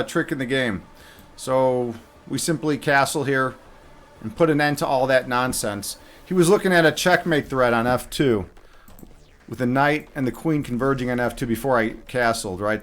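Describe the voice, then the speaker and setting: A man giving casual live commentary on his own game as a streamer, muttering half-finished thoughts to himself as he thinks aloud. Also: medium pitch.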